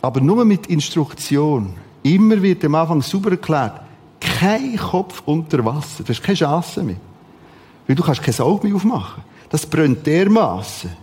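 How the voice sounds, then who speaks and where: Male preacher, Swiss German accent. Husky, slightly high voice, dramatic and emphatic sermon delivery. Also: big pitch swings, measured pace, darkish tone. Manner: dramatic, emphatic delivery with repeated short phrases.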